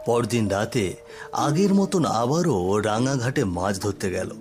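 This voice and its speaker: A man's voice: mid-range voice, big pitch swings, a little slow, darkish tone, soft background music. A man giving scripted story narration for a cartoon.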